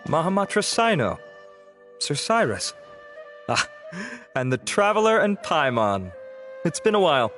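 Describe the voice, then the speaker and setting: A dutiful male officer answering orders respectfully. His voice is slightly raised and lively. Faint game music sits behind. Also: on the high side, a little slow, pauses often.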